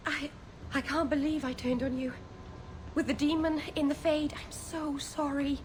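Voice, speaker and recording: Game casting: actress as young female elf mage, earnest, soft-hearted, contrite; noisy capture. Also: high-pitched, little pitch movement, normal speed, natural voice. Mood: remorseful, shaken. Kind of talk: video game character dialogue.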